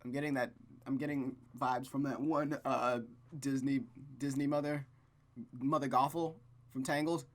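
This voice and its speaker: A gaming host reading a visual novel aloud with casual, rambling, expressive commentary. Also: medium pitch, normal speed. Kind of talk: casual game commentary and read-aloud narration.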